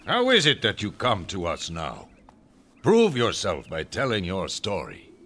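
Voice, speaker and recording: Commanding great chief, animated delivery. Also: male voice, sits low, measured pace, full character voice. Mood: commanding, questioning. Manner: stately, dramatic. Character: grand, commanding Native great chief, storytelling narrator. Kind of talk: radio drama narration and acting.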